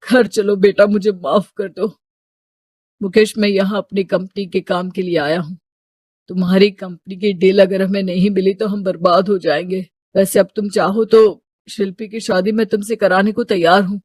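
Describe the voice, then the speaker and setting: A man's voice: high-pitched, flat delivery, moderate pace, a little mellow, breathy edge, dry recording. An actor voicing a stern, curt, calculating middle-aged businessman father in a Hindi moral-story cartoon. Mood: pleading.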